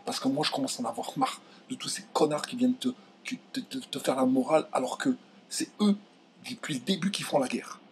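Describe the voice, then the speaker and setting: Heated young guy from france venting to camera, trips over words, goes shrill when he's mad, bit of background hiss. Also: fairly quick. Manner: indignant, voice jumps high when outraged, many restarts. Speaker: video commentator. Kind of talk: heated direct-to-camera rant.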